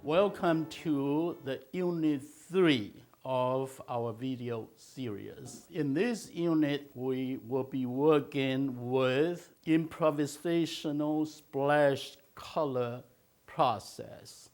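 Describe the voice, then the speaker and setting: Slow, halting older male art teacher. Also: medium pitch, a little mellow, soft background music. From China, raised in Malaysia. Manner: slow, halting, many filler uhs. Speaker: watercolor teacher. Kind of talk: instructional video talk.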